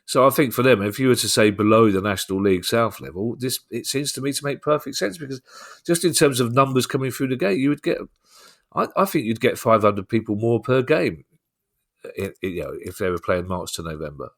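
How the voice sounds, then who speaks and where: A man's voice: medium pitch, brisk, a bit bright. A man hosting a podcast, chatting in a jokey, casual way and reading out listener questions. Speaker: podcast host.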